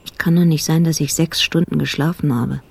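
An actress playing a sober police investigator who recounts a murder case in clipped, factual phrases, in a radio drama. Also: female voice, sits low, fairly even intonation, fairly quick, creaky, darkish tone, slightly rough, heavily acted. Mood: self-reproachful. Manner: clipped, factual, report-like delivery. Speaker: actor in radio play. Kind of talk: scripted radio drama narration.

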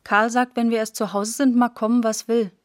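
A woman's voice: on the high side, little pitch movement, moderate pace. A woman reading literary prose for radio as a narrator, in a terse, plain-spoken way.

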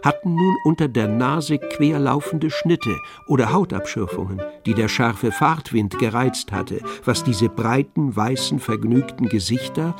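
A man narrates a scripted literary radio play in a medium-pitched voice. Music plays clearly behind his storytelling. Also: lively intonation, a little slow, hardly any pauses.

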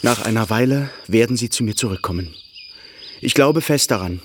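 Actor as an earnest, reproachful lovelorn suitor, faint noise. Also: male voice, lowish voice, moderate pace, close to an everyday voice. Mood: insistent. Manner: formal, solemn, insistent. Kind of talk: German radio drama acting.

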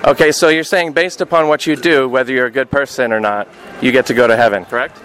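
An American man working as a radio host and interviewer, speaking clearly and deliberately. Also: mid-range voice, steady tone, fairly bright tone, slight background noise. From United States. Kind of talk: radio intro and street interview.

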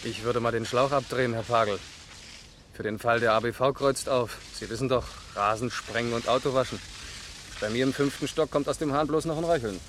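A man playing a police lieutenant in a radio crime play, with a mid-pitched voice. He questions in a casual, probing way with dry wit. There is noticeable noise behind him. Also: a little fast, a bit bright, lightly acted. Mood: casual, observant. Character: male police first lieutenant, probing, unhurried, wry. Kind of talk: naturalistic 1970s German radio crime drama acting.

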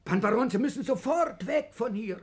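An actor playing an agitated, loyal Polish villager in a 1950s radio drama, urgent and speaking in clipped, broken German. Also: male voice, very high-pitched, lively intonation, normal speed, breathy edge, exaggerated voice.

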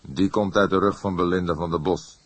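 Guy reading as old veteran cop in an audiobook, deep voice, dry and deliberate, bossy instructions. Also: steady tone, breathy edge, dry recording. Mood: businesslike. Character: grey-haired veteran male detective, deliberate, dryly authoritative.

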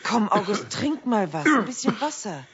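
A woman playing a bossy but caring mother in a children's radio play, brisk and solicitous. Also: medium pitch, big pitch swings, a crowd in the background, natural voice. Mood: fussing concern. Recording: dull, noisy old radio recording.

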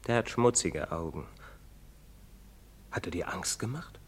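An actor playing a paternal, reproving village priest in a German stage drama, speaking in a measured, moralising manner. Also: male voice, low voice, expressive, husky, heavily acted. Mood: fatherly, probing. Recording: echoey theatre-style hall reverb.

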